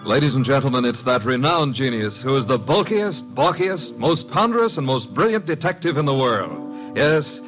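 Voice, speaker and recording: A man's voice: medium pitch, very animated, normal speed. Grandiose male radio announcer over music. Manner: grandiose, emphatic. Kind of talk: 1940s-50s dramatic radio announcing.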